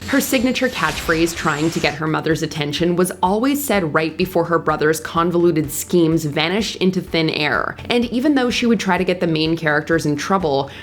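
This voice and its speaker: Low-pitched woman narrating a countdown. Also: lively intonation, moderate pace, hardly any pauses. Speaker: narrator and host of a countdown video. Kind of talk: scripted narration of a top ten list. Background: background music.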